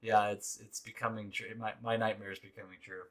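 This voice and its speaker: A man in his late twenties co-hosting a gaming channel, chatting casually and complaining in an animated, jokey, emphatic way. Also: on the low side, a little fast. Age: late 20s. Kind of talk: casual chat while gaming.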